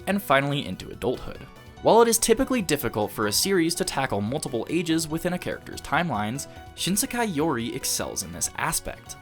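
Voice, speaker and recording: A man delivering scripted video essay narration as the host of an anime review. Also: on the high side.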